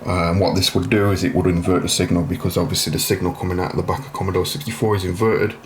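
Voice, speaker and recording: Male tutorial host, low register, plain informal step-by-step delivery, some background noise. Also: steady tone, quick pace. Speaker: video host demonstrating a retro computer repair. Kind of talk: informal hands-on tutorial narration.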